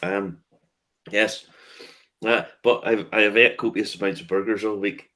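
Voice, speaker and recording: A man hosting a casual chat about whiskey tastings, relaxed, laughing often and swearing casually as he restarts his sentences. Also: lowish voice, measured pace. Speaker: host and whiskey tour guide.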